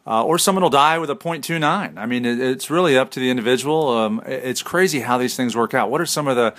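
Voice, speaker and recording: Brief: Midwestern male host, mid pitch, loose and joking, plenty of uhs. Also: quick pace, fairly bright tone. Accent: Midwestern American. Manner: joking, chatty, with filler words. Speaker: interview host. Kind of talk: casual interview questions.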